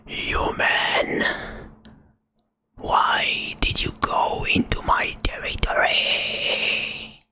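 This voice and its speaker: A woman voicing a huge, proud and hungry cave dragon in a slow, drawn-out whisper. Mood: menacing.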